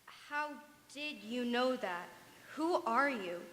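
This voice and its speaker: A woman's voice: a little high, lively intonation, slow, pushed and bright, exaggerated voice. A woman acting a polite, puzzled and anxious mother in a stage radio drama. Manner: polite, concerned. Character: refined, anxious middle-aged mother, polite but puzzled. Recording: echoey hall, distant, long reverb.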